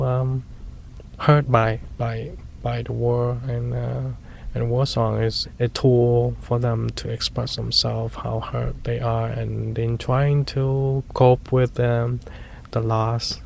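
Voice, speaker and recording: A man's voice: medium pitch, little pitch movement, takes it slowly, creaky, darkish tone, slight background noise. A man answering in an interview, hesitant, with many fillers and restarts.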